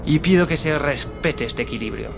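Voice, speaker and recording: An actor playing an assertive French president delivering formal political speeches in an emphatic, declamatory way. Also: male voice, a little high, normal speed, creaky, over music. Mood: defiant, pointed. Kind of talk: dramatised political oratory.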